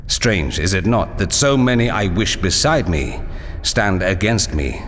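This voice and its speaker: A low-voiced, grave warlord broods bitterly in an audio drama. His theatrical monologue unfolds slowly and deliberately, ringing out with long hall reverb. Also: expressive, fairly bright tone, slight background noise. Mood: bitter, ruminating. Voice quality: deep, grave. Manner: slow, measured, theatrical monologue. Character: Horus, a brooding, bitter male warlord commander.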